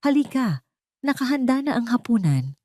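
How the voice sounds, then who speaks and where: A woman's voice: fairly high pitch, very animated, moderate pace, mellow, no echo, voice pushed up. A woman voicing a devoted, anxious young wife in an audio drama, tender and exclaiming. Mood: tender, uneasy.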